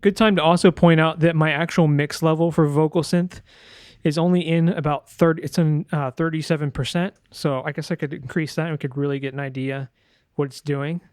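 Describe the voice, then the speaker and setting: A man presenting a sound design software tutorial in a casual, informal way, thinking aloud as he demonstrates. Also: on the high side, fairly even intonation, fairly quick, no room sound, clean studio sound.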